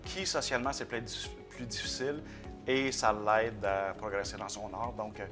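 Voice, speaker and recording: A man's voice: fairly low pitch, fairly even intonation, moderate pace, music in the background. A man with a Québécois French accent, a company president explaining his work in a casual interview, matter-of-fact. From Quebec.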